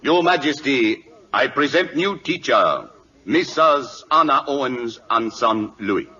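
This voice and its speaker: An actor playing a grave, dryly patient Siamese prime minister in a radio drama, speaking clipped, formal broken English with a Siamese accent. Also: male voice, mid-range voice, animated, takes it slowly, pushed and bright, husky, full character voice. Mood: formal, warning. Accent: put-on Siamese. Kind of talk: 1940s radio drama acting. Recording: dull lo-fi old radio recording.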